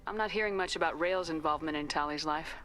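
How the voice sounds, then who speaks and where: A woman's voice: low-pitched, fast talker, pushed and bright. An actress playing a firm, assertive female starship captain in a video game, direct and businesslike. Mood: firm, probing. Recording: clear background noise.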